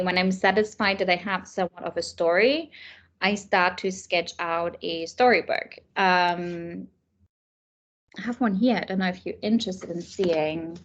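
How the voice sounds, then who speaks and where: A woman's voice: fairly low pitch, a bit bright, highs cut off. Woman chatting as a guest. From Germany. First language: German. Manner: thoughtful, many fillers and restarts. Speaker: author and illustrator guest. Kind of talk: casual interview chat.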